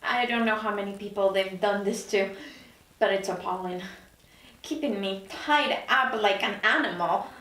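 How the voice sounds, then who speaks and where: A woman's voice: medium pitch, moderate pace, pushed and bright, lightly acted. A woman playing a driven, wry, assertive executive at a table read, recorded in an echoey room. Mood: indignant. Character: driven, sharp-tongued ambitious woman executive, indignant and wry. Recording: echoey room, distant mic.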